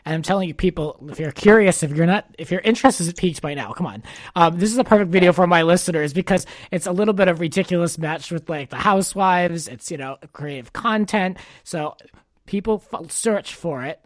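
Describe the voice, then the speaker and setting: A man hosts a podcast with casual, conversational interviewing. His voice is a bit higher than average for a man. The sound is close and dry, with little room in it. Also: brisk, no room sound.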